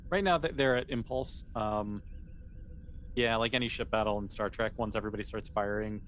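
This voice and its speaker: A man casually improvising narration as the game master of a tabletop role-playing game, with conversational, halting phrasing. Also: fairly low pitch, a bit bright. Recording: clear background noise.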